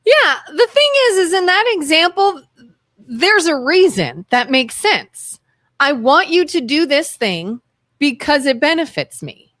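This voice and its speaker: A woman's voice: high voice, very animated, unhurried, a bit bright, dry recording. Emphatic woman talk show co-host. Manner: emphatic and insistent. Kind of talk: casual opinion talk. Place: studio.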